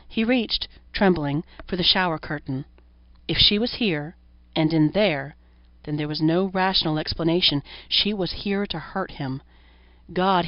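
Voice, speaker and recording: A woman reading a horror story as an audiobook narrator, in scripted narration. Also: on the low side. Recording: roomy echo, dull lo-fi sound.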